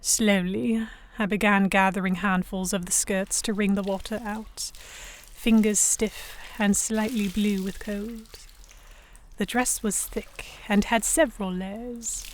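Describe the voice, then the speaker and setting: A woman playing a young Victorian noblewoman captive, narrating her story in a measured, literary first person. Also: mid-range voice, fairly even intonation, slight background noise, natural voice. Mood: weary, reflective. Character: young English noblewoman captive, reflective, stubborn, Victorian era. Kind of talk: first-person scripted narration in an audio drama.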